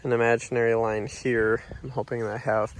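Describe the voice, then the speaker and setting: A man's voice: mid-range voice, fairly quick, rough recording. Stuffy-nosed man vlogging outdoors. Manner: relaxed, rambling, lots of uh fillers. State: nasal from allergies. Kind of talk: casual vlog walkthrough. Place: outdoors on a commercial lot and driving in a truck.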